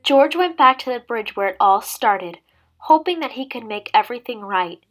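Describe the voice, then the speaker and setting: A woman reading a children's story aloud as a teacher would, with dramatic, expressive delivery. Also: a little high, normal speed. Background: background music.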